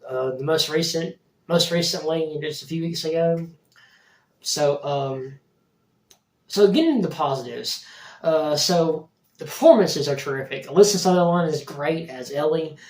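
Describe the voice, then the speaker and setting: A man with a hoarse voice from a cold, casually reviewing a film and rambling with frequent restarts. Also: fairly high pitch, normal speed, darkish tone. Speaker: movie reviewer, channel host. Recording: roomy echo, distant mic.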